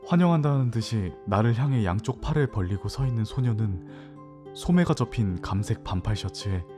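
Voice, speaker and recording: A man's voice: medium pitch, close to an everyday voice. A man playing a young farmer's son, narrating his own fantasy story in a reflective, literary way in an audio drama. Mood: calm narrating.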